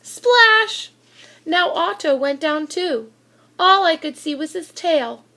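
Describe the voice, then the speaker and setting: A woman reading a children's storybook aloud in an expressive narrating style. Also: very high voice, takes it slowly.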